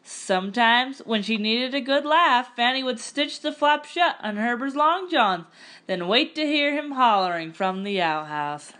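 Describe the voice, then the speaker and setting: A woman narrating a picture-book story aloud in an expressive, scripted storytelling style. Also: on the high side, a little slow.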